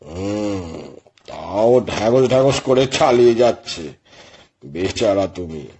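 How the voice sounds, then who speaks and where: An actor playing a well-off, slyly sympathetic gentleman in an audio story, speaking slowly and deliberately with a husky voice. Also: on the low side, lively intonation, highs cut off, exaggerated voice. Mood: wry pity. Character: cousin Everard, well-off male host, slyly sympathetic. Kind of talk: audio story character acting. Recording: music bed behind the voice.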